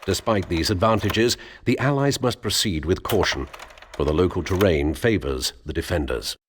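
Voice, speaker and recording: A man narrating military history in a measured, grave, formal documentary style for a game. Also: low voice, lively intonation, slight background noise. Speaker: narrator of war history briefings.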